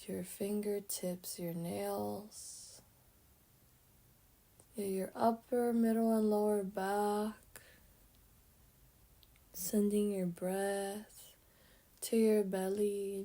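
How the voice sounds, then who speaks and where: A woman leading a guided meditation, speaking gently in short phrases with pauses between them and soft, breathy endings. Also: mid-range voice.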